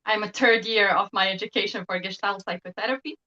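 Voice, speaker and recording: Bright-voiced woman, guest on a video call. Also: mid-range voice, fairly even intonation, quick pace, muffled recording. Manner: thoughtful, hesitant with frequent ums, laughs lightly. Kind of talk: casual interview talk.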